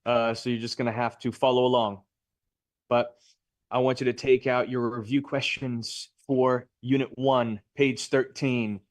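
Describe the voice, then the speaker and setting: A man's voice: medium pitch, flat delivery, unhurried, dry recording, clean studio sound. A man teaching a live class, upbeat and chatty, asking his students questions. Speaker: real estate instructor.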